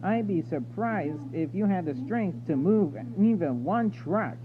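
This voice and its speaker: A man voicing a bad-tempered, complaining barge in a children's storybook, with shouted grumbles and sneers. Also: high voice, expressive, measured pace, voice pushed up. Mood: mocking. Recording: narrow phone-like sound with loud noise and hum.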